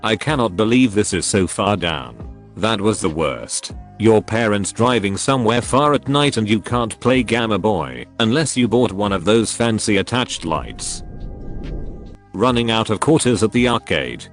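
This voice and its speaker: A man narrating forum posts aloud in a steady, even reading voice. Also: on the low side, a little slow. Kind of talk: scripted narration. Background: faint background music.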